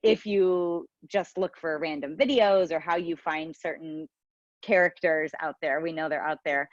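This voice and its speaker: A woman's voice: low-pitched, steady tone, unhurried, no echo. A woman theatre teacher talking casually and ramblingly on a video call, searching for words, with dull sound. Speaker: theatre teacher, actor and director.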